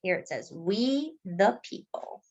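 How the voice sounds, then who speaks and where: Slow, animated woman reading a storybook. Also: medium pitch, dull audio. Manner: deliberate, simple explaining as if to young children. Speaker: children's storybook reader. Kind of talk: expressive picture-book read-aloud with brief explanatory asides.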